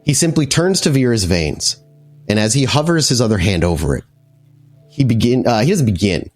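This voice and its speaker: A man narrating a tabletop vampire game as its game master, in a dramatic, halting storytelling manner. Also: medium pitch, sing-song, soft background music.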